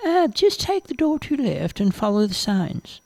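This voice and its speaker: A man voicing Jane, a bold young woman who sells cars, with a high, eager manner. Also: wildly swooping pitch, normal speed, creaky, darkish tone, no echo, exaggerated voice, poor audio. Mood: sweet-talking. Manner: chirpy, eager, pitched up high.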